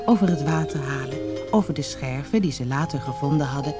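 A woman narrating a children's fairy tale as a storyteller, reading in an expressive, dramatized way. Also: rumbling low, fairly quick, over music.

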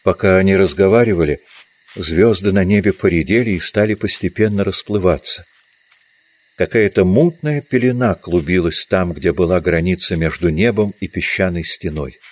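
A man's voice: low-pitched, moderate pace. A man reading scripted literary narration for an audio drama.

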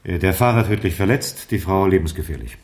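Police chief, fairly low voice, formal, businesslike, clipped official delivery, radio drama. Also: male voice, brisk, a little mellow. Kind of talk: German radio crime drama acting.

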